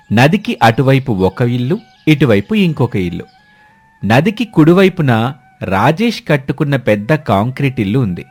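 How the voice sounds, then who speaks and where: A male narrator delivers a scripted story. His voice sits at an ordinary male pitch, with music playing behind it. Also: darkish tone. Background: background music.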